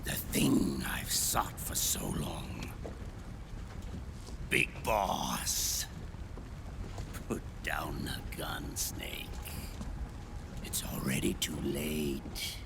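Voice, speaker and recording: An actor playing a smug, theatrical older villain in a video game, gloating in a slow, taunting drawl. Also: male voice, rumbling low, lively intonation, noisy background, full character voice. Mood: gloating menace. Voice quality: gravelly, breathy drawl. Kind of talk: dramatic video game cutscene acting.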